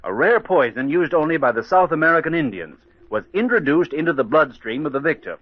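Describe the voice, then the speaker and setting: A man's voice: fairly high pitch, sing-song, fairly quick, dry recording. Businesslike lab man, dull radio sound. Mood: reporting. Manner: matter-of-fact, explanatory. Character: businesslike hospital laboratory man reporting his findings. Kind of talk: old radio mystery drama acting. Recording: dry, dull old radio recording.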